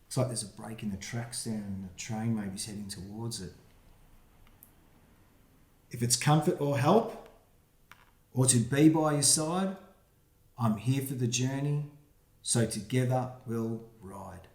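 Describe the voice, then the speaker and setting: A man, the book's author, reading his rhyming picture book aloud for children, with quiet asides about the pictures. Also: mid-range voice, measured pace, pauses often. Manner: friendly, reads rhyming verse with quiet asides. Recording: roomy echo.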